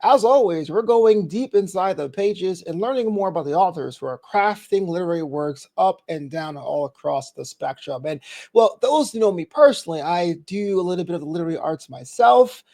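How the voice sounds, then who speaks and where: A man's voice: high voice, expressive, a little fast, creaky, warm tone. A man from New York hosting a book interview, upbeat and full of praise for his guest. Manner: upbeat, effusive praise, polished presenter delivery. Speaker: interview host.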